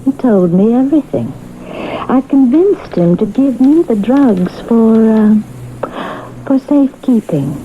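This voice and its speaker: Cunning, high-voiced theatrical schemer. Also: female voice, animated, unhurried. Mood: confronting, taunting. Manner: dramatic, coaxing, taunting. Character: cunning, theatrical, self-assured woman accused of murder. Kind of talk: old-time radio drama acting. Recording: tinny band-limited old radio broadcast, hissy.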